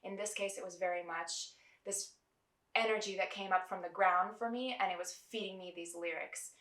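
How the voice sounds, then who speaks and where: A young woman from New Mexico, a singer-songwriter, reflecting in an earnest, measured documentary interview. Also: medium pitch, steady tone, a bit bright. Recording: roomy, distant mic.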